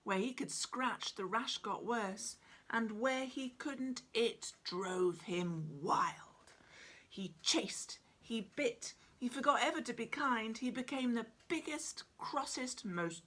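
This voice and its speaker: A woman in her forties narrating her children's picture book in a dramatic, deliberate, expressive style, indoors at home. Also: fairly high pitch. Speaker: author reading own picture book. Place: small cluttered room at home, indoors.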